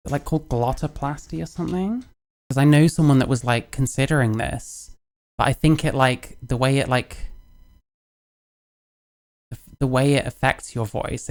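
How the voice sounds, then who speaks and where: A man speaking casually and candidly, rambling and self-deprecating, laughing and swearing as he reacts to a clip. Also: medium pitch. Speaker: youtuber reacting to another creator's video.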